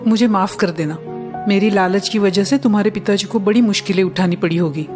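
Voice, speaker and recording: A woman's voice: medium pitch, a little fast, close to an everyday voice. Woman voicing an older doting mother-in-law over music. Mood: repentant. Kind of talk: cartoon story character voice.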